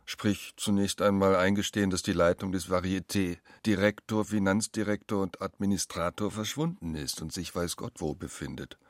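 Lowish male narrator for a radio play, wry and measured, very dry sound. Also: steady tone, no room sound. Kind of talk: scripted literary radio drama narration.

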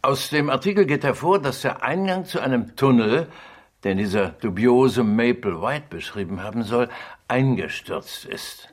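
An actor playing a genteel, pondering gentleman in a German radio drama, husky and measured. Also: mid-range voice, lively intonation. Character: pondering, genteel English gentleman reading the news.

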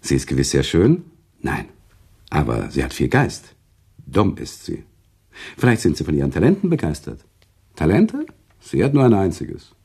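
A sentimental storyteller in a radio play. His low, gravelly voice swings widely between teasing and feeling, with dramatic pauses and emphatic swells. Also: wildly swooping pitch, warm tone, full character voice. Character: sentimental, worldly male storyteller, emotional and teasing. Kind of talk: German radio drama acting, conversational storytelling.

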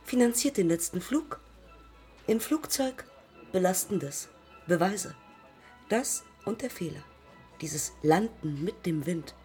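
A woman's voice: on the low side, lively intonation, slow, long pauses, darkish tone, soft background music. An actress playing a proud yet self-doubting woman aviator in a poetic radio play, confessing in clipped, terse fragments. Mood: confessional. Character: heroic, self-doubting young woman aviator who took her own life.

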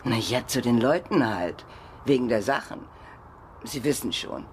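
A woman's voice: rumbling low, animated, measured pace, a little mellow, slight background noise. An actress in a radio crime drama playing a naive, chatty, worried girlfriend who rambles colloquially. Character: naive chatty woman, worried girlfriend under police questioning.

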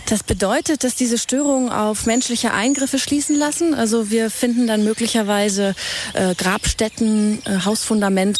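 A woman's voice: fairly high pitch, steady tone, moderate pace, a little mellow, lightly acted. A woman playing a young archaeology student in a radio drama, explaining matter-of-factly. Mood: earnest explaining. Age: young adult. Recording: outdoor ambience, faint insect noise.

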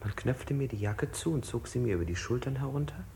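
A subdued prisoner with a gravelly, creaky voice, recounts mistreatment with detached deliberation. It sounds like an older radio drama, with faint noise behind him. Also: male voice, mid-range voice, animated, brisk, mellow. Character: subdued interrogated man recounting his mistreatment. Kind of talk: stylized 1960s German radio play.

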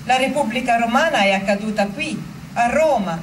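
A woman telling a story theatrically, in deliberate, emphatic phrases. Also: a little high, roomy sound, noisy background, lightly acted. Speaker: stage actor and narrator. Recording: distant microphone under loud music.

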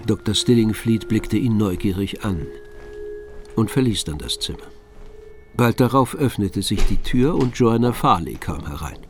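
An actor narrating a detective radio drama as a calm, observant literary storyteller. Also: male voice, on the low side, moderate pace, slight background noise, natural voice.

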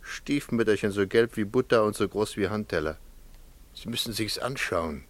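An actor in a radio play playing an eager street vendor with chatty, persuasive patter. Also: male voice, on the low side, moderate pace, lightly acted. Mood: eager, coaxing. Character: eager, coaxing street flower seller with a cart. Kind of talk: German radio play acting.

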